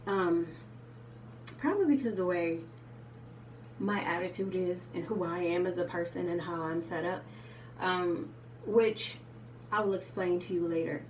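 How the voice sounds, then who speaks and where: Soft-spoken woman, chatty, in a roomy space. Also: fairly low pitch, a little slow, pauses often, a little hiss or hum. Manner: chatty, rambling, with frequent ums and you knows. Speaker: vlogger. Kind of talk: casual chat while doing makeup. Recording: roomy, slightly distant home recording.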